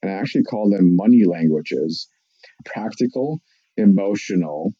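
A man from Russia, a psychologist giving measured, explanatory answers on a podcast. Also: deep voice, expressive, mellow, dry recording, dull audio. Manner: measured, explanatory, lists points.

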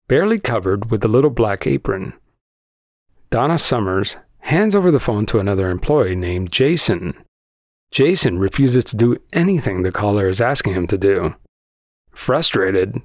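A man's voice: medium pitch, no room sound, clean studio sound. A man hosting a podcast, telling a true-crime story in a conversational narration style. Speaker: podcast host.

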